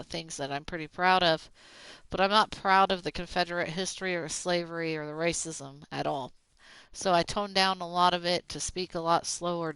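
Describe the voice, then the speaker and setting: A streamer from Oklahoma with a Southern accent, rambling in fast, casual talk with plenty of fillers while playing a game. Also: medium pitch, a bit bright, clean tone, dry recording, poor audio. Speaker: gaming streamer.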